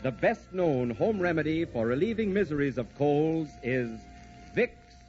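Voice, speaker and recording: Higher-pitched male vintage radio announcer. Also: big pitch swings, unhurried, darkish tone, soft background music. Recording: muffled old radio broadcast.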